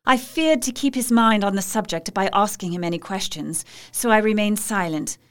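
A woman's voice: mid-range voice, normal speed, clear, resonant tone, lightly acted. An actress playing a devoted, anxious young English wife, narrating her diary earnestly in an audio drama. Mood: uneasy.

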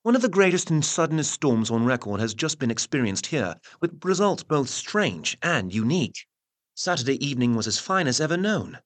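An actor playing a formal Victorian newspaper correspondent, narrating a report in a measured way for an audio drama. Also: male voice, mid-range voice, big pitch swings, close to an everyday voice. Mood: grave, formal. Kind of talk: scripted period news narration.

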